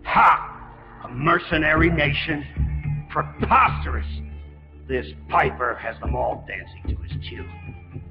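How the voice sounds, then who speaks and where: A man's voice: on the high side, huge pitch swings, slow pace, vocal fry, long pauses, in a large hall, highs cut off, exaggerated voice. A man playing a pompous, blustering, middle-aged Soviet colonel in a video game, with theatrical delivery. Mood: bitter, scornful. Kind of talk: dramatic video game acting. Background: loud music.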